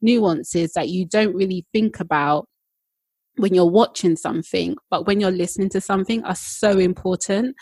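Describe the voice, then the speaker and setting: Chatty woman with a slightly husky voice. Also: on the low side, moderate pace, darkish tone, dry recording. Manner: chatty, many fillers. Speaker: podcast co-host. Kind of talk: casual chat.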